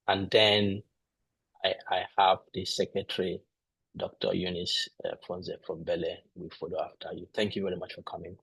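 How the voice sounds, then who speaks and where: A man presenting a board at an online ceremony, speaking haltingly with frequent fillers. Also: deep voice, flat delivery, a bit bright, slightly rough, dry recording, poor audio. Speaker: master of ceremonies. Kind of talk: virtual ceremony hosting.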